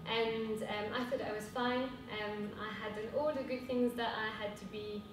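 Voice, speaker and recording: A woman's voice: fairly even intonation, normal speed. French-accented woman in an echoey hall. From France. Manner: earnest, hesitant with frequent fillers. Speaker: cooperative speaker. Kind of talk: conference talk. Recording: echoey hall from far off with rumble.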